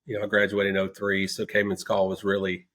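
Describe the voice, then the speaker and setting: A man's voice: on the low side, completely flat delivery, brisk, a bit bright. A man in his forties from Mississippi co-hosting a podcast, laid-back and enthusiastic, often saying "man". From Mississippi, United States. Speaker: podcast co-host. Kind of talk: casual podcast chat.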